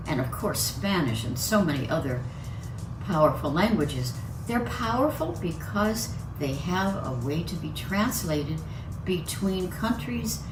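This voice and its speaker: A woman's voice: lowish voice, echoey room, distant mic, low-quality recording. An older woman from the United States, giving a chatty, personal lecture at a symposium and laughing between her points. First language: English. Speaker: conference speaker. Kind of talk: lecture talk.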